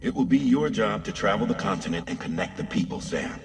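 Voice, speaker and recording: A man playing a calm, knowing handler in a video game, speaking in a formal, measured, explanatory way. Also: low-pitched, creaky, recorded from a distance, noisy background. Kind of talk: video game cutscene acting.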